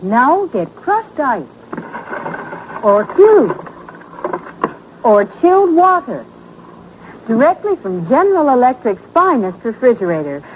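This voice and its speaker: A female commercial announcer reads a vintage radio ad in a fairly high voice with lively, varied intonation. The recording is muffled, with a faint layer of noise. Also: takes it slowly, pauses often. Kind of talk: vintage appliance radio ad, promotional read.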